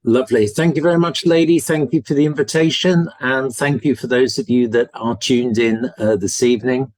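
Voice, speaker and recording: A man's voice: mid-range voice, steady tone, moderate pace, dry recording. A man with a British English accent, a university representative giving a polite, enthusiastic webinar presentation over a video call. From United Kingdom.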